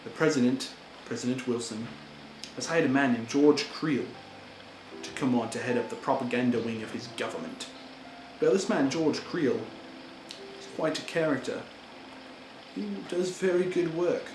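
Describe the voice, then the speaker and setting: A man's voice: medium pitch, normal speed, natural voice. A man acting an earnest, indignant German-born immigrant who pleads for fair treatment, in an amateur role-play monologue. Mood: pleading. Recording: echoey room with background noise.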